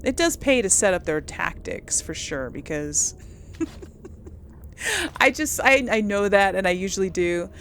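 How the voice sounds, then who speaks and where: A woman's voice: mid-range voice, animated, unhurried, long pauses, fairly bright tone, a little hiss or hum. A woman hosting a casual let's play, talking in an informal, hesitant, chatty way. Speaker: gaming video host. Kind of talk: casual let's play commentary with role-played replies. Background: video game ambience.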